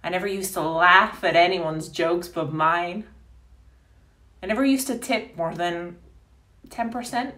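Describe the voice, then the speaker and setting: A woman's voice: lowish voice, pushed and bright, recorded from a distance. An actress playing a cold, self-declared mean woman in a melodramatic, pleading stage monologue. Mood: confessional. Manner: pleading, melodramatic, deliberate with hesitations. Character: cold, self-declared bitch begging not to be proposed to.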